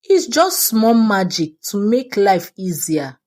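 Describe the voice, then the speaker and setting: A woman's voice: mid-range voice, sing-song, slow, darkish tone. A woman voicing a mischievous little girl in a folktale, breezy, evasive and defensive. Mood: carefree.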